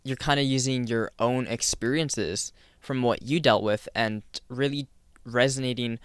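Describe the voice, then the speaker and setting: A young man hosting a podcast interview in a relaxed, affirming tone, often saying "kinda." His voice is smooth and clear, and the recording is dry and close, with no room sound. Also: medium pitch, a little slow, dry recording. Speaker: podcast host. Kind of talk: casual interview chat.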